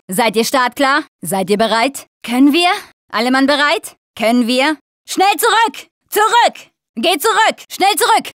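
A young female survivor of a zombie apocalypse in a video game, tough and sardonic. Her voice is high and she takes her time over clipped, informal lines. The sound is dry, with no room around it. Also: fairly bright tone, dry recording. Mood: urgent. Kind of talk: German video game dub, short combat callouts.

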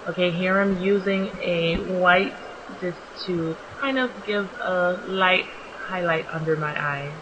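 A young woman with a slightly raspy, just-woken-up voice, narrating her makeup tutorial in a relaxed, chatty way with many ums. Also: fairly low pitch, takes it slowly, dull audio, noisy background. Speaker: beauty vlogger. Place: small home bathroom.

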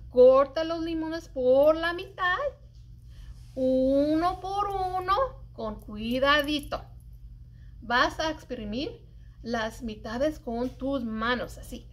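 Middle aged lady reading a kids poem out loud, slow, high voice, really expressive and singsong. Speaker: librarian, story-time reader. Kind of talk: animated read-aloud of a children's cooking poem. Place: indoors, public library children's area.

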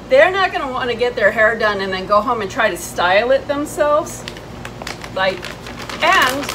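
High-voiced, indignant middle-aged woman vlogger. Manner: indignant, emphatic, retelling exchanges. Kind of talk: casual chat, personal storytelling to camera. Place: covered porch outside a house. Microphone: camera a few steps away. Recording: clearly noisy outdoor ambience.

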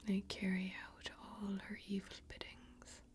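A young woman whispers close to the mic in an even, barely varying tone. She is playing a solemn, mysterious fantasy warrior in an ASMR role-play, unhurried and deliberate with each phrase. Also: mid-range voice. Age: young adult. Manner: hushed, slow, deliberate, intimate. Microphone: close microphone.

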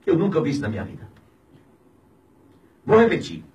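A Brazilian pastor in his fifties rails in an indignant, preaching tone, now shouting, now dropping low. His voice sits high and swings wildly in pitch. He sounds a little off-mic in a small radio studio. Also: wildly swooping pitch, fairly quick, distant mic. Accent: Brazilian Portuguese. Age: 50s. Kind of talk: impassioned radio commentary. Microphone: studio mic on a boom, filmed from a distance.

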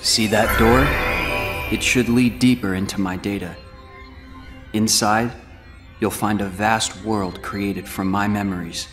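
A man's voice: fairly low pitch, fairly even intonation, slow pace, pauses often, music in the background. A young man playing a brooding, self-sacrificing teenage boy warrior in a game cutscene, grave and restrained. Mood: somber, earnest. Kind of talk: video game cutscene acting.

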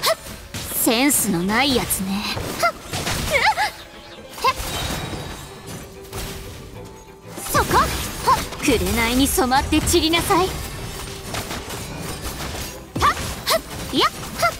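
A woman playing a confident, sharp-tongued young fashion designer and fighter in a Japanese game, with a crisp, curt manner. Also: high voice, huge pitch swings, measured pace, pauses often, exaggerated voice. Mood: fierce, scornful. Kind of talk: Japanese anime game voice acting. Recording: light game music behind the voice.

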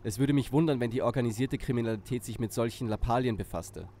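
A man's voice: medium pitch, quick pace, dark tone, slight background noise, close to an everyday voice. A man playing a self-assured, pompous teenage boy who leads a detective gang in an audio drama. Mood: confident.

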